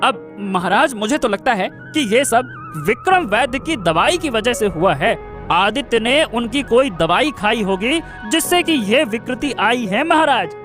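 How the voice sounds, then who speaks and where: Cartoon villain: scheming chief minister, very high pitch, menacing, self-important, drawn-out delivery. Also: male voice, big pitch swings, over music, full character voice. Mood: sly, insistent. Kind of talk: Hindi cartoon story voice acting.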